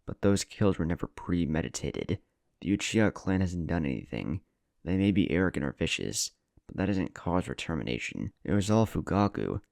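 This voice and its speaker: A man's voice: low voice, sing-song, moderate pace, vocal fry, a little mellow, no echo, studio quality, exaggerated voice. A man voicing an earnest, resolute young ninja leader with emphatic speechmaking in a story narration. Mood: troubled.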